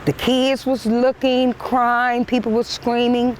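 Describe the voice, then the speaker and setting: A woman crossing guard speaking plainly and emphatically in short, deliberate phrases outdoors by a street. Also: on the high side, little pitch movement. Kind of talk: plain-spoken interview answers. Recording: clear outdoor traffic noise.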